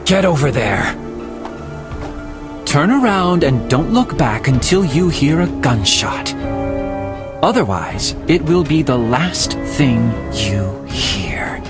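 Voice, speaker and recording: An actor playing a cold, suspicious gentleman who makes measured threats in a video game cutscene. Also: a little high, big pitch swings, loud music behind the voice. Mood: menacing.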